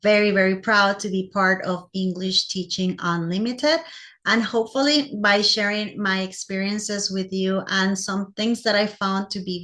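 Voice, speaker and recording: Bright-voiced Costa Rican woman teacher. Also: mid-range voice. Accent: Costa Rican Spanish. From Costa Rica. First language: Spanish. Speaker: English teacher, presenter. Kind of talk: personal presentation to fellow teachers.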